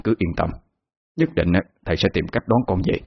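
Man doing a low, hushed old village father. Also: big pitch swings, brisk, creaky, slightly rough, exaggerated voice. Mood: worried, hushed. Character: aging village father, a worried burial master. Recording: muffled lo-fi with faint music.

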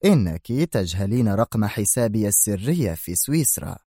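A man voicing a gruff, cold older mafia boss in an audiobook, speaking slowly and heavily. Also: fairly low pitch, very animated, warm tone, no room sound, clean studio sound, exaggerated voice. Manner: slow, heavy, menacing. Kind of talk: audiobook character reading.

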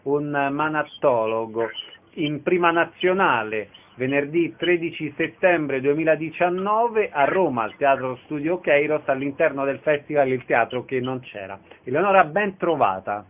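A man's voice: on the high side, lively intonation, measured pace. A man presenting and interviewing as a radio host, with scripted announcements. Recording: narrow, phone-like radio sound.

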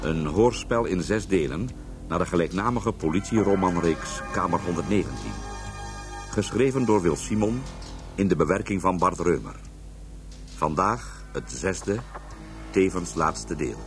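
A male announcer introduces a radio drama serial in a very low voice. The delivery is scripted and presentational, over clearly heard music. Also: lively intonation, unhurried.

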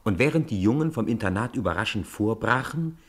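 A man with a deep voice narrates a radio play. His delivery is measured, the way a storybook is read aloud. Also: lively intonation.

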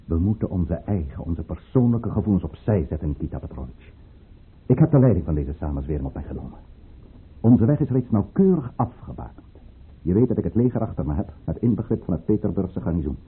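Commanding, husky, low-voiced conspirator count. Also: male voice, very animated, fairly quick, exaggerated voice. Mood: resolute. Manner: declamatory, commanding, rhetorical. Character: ruthless, fervent count leading a conspiracy against the tsar. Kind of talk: Dutch radio play acting. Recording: muffled, narrow-band old radio recording.

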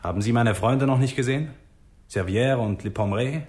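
A man's voice: mid-range voice, fairly quick, darkish tone, natural voice. A man playing a fussy, self-pitying, ailing doctor in a 1960s German radio crime drama. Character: peevish, self-pitying, ailing male small-town doctor.

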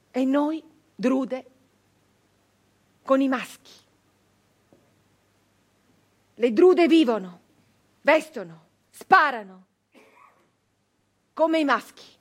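A high-pitched, fierce woman brigand of the 1800s. She tells her story slowly and bitterly, weighing every word with theatrical intensity. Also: exaggerated voice. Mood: proud, menacing. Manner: intense, deliberate, with long pauses, swinging from hushed to outcry. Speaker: stage actress. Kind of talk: dramatic theatrical monologue.

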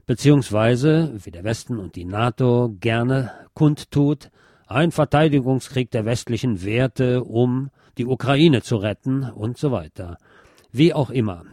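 Mellow-voiced man presenting a film review. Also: mid-range voice, dry recording, studio quality. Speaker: radio show host. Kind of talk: film review, semi-scripted narration.

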